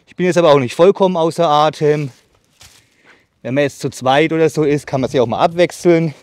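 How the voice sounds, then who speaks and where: A man in his thirties with a somewhat high voice chats his way through a tool demo in an open meadow, a little winded from sawing. His talk is loose and full of fillers, recorded by a camera set back from him, with a dry sound. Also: a little mellow, dry recording. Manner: chatty, filler-heavy, pauses while sawing. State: slightly out of breath from sawing. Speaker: bushcraft channel host. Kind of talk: casual product demo. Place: outdoors in a leafy meadow by trees. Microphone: far camera on a tripod.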